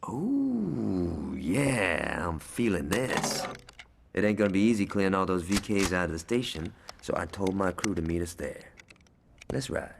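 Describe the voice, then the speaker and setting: Cocky, animated gangster. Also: male voice, low voice, measured pace, full character voice. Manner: taunting, swaggering. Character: cocky, wisecracking male gangster. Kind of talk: video game cutscene acting. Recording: clear background noise.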